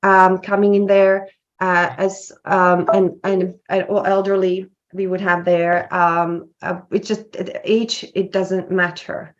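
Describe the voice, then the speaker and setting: A woman's voice: lowish voice, level tone, slow, lo-fi sound. A woman from Slovakia, answering interview questions as a wellness clinic founder, explaining at length and often repeating and restarting her words.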